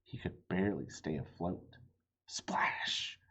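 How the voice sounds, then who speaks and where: A man's voice: on the low side, very animated, unhurried, darkish tone, slightly rough, muffled recording. A man reading a children's storybook aloud with dramatic, suspenseful expression. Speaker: storybook reader.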